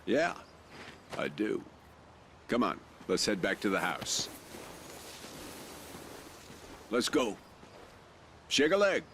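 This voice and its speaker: An actor playing a middle-aged soldier father in a video game, grave and measured, with a gravelly voice. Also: on the low side, huge pitch swings, slight background noise, heavily acted. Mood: calm, fatherly. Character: gruff, solemn soldier father telling a war legend. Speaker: narrator and father character. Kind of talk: cinematic dramatic storytelling. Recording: loud cinematic music behind.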